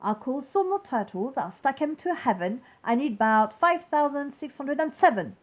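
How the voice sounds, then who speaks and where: A woman's voice: sits high, expressive, normal speed. A woman voicing a pompous, bossy turtle king from a storybook, bellowing boasts and commands imperiously. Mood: indignant.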